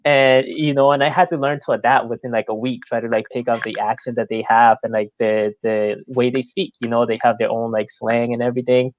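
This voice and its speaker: A man's voice: mid-range voice, level tone, brisk, hardly any pauses, dry recording, muffled recording. A man from Peru chatting on a podcast, telling stories in a laid-back way with lots of fillers. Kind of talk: casual podcast conversation.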